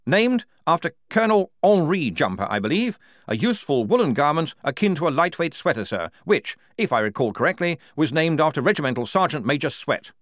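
A man's voice: fairly high pitch, big pitch swings, brisk, hardly any pauses, a bit bright, no echo, clean studio sound, pitched above the performer's own voice. A man voicing a fussy, overly polite young male lieutenant who stammers when flustered. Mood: prim defiant.

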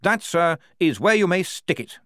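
A man's voice: high-pitched, big pitch swings, moderate pace, bright, forceful tone, no echo, studio quality, voice pushed up, full character voice. A man voicing a fussy, overly polite young male lieutenant who stammers when flustered. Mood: prim defiant.

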